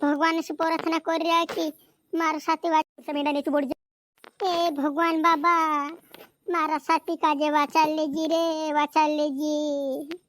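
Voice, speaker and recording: Distraught cartoon villager played by a man, very high flat pitch, rural Madhya Pradesh accent, repetitive pleading. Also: a little slow, pauses often, a little hiss or hum. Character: pleading, distraught rustic villager, friend of a patient. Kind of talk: amateur cartoon comedy acting.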